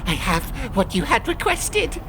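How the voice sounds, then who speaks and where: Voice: mid-range voice, sing-song, a little slow, background noise. An actor voicing an anxious, servile little scavenger creature in a fantasy audio drama. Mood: fawning.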